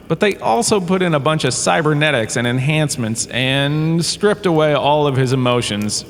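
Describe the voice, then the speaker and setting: A man's voice: medium pitch, lightly acted. Casting: male actor as washed-up drama star turned lecturer, wry conversational storyteller for comedy audio drama; long hall reverb, faint noise behind. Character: washed-up holodrama star lecturing on bounty hunters, chatty, exasperated. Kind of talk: comedic scripted lecture narration.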